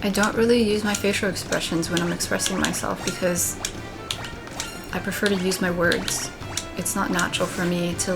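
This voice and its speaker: Low, monotone woman musing while gaming. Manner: thoughtful, rambling, candid. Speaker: let's play gamer. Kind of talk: casual commentary while gaming. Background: game music.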